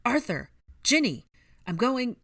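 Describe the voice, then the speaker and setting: A woman's voice: low-pitched, fairly quick, dry recording, clean studio sound, lightly acted. Woman as an urgent, commanding young man. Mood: urgent shouting.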